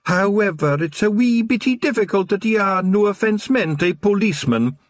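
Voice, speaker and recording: A cheeky little Scottish fairy chief with a hoarse, whispery voice. He talks high and bouncy, boisterous and full of himself, in a storybook reading. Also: animated, moderate pace, dry recording, clean studio sound. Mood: hearty.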